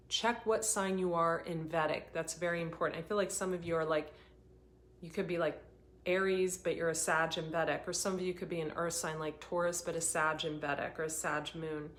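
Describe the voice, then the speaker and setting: A woman hosting a live oracle card reading, talking in an earnest, rambling way, her voice getting hoarse. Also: fairly low pitch, steady tone, moderate pace, a bit bright. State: voice going hoarse. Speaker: intuitive reader hosting a livestream.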